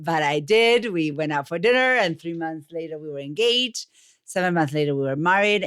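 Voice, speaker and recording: Woman, forties, Argentine Spanish accent, lower register, dry close sound, conversational podcast guest. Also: smooth, no room sound. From Buenos Aires, Argentina. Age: 40s. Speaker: podcast guest, communications coach. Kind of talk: conversational storytelling interview.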